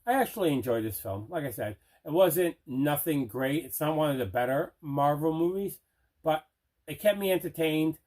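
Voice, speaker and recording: A man's voice: fairly high pitch, expressive, moderate pace, a little mellow. A man hosting a casual unboxing chat, talking with plain, repetitive enthusiasm about items in a collection. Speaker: host of a movie collection unboxing show.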